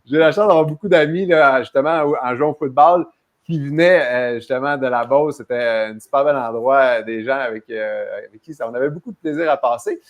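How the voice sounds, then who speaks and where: A man's voice: mid-range voice, fairly quick. A man with a Quebec French accent hosting a podcast interview, talking in a loose, chatty way with many hesitations and restarts. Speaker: podcast host. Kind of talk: casual interview chat.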